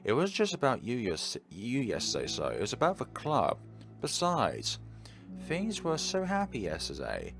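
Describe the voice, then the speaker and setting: A man's voice: medium pitch, huge pitch swings, normal speed, fairly bright tone, soft background music. A man voicing a sensitive, troubled teenage girl from a visual novel, cheerful on the surface and evasive underneath. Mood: upset, pleading. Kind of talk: visual novel character read-aloud.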